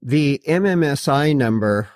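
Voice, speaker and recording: A middle-aged man narrating a hands-on how-to in a deliberate, matter-of-fact way. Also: medium pitch, expressive, a little mellow. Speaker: host of a DIY boat install video.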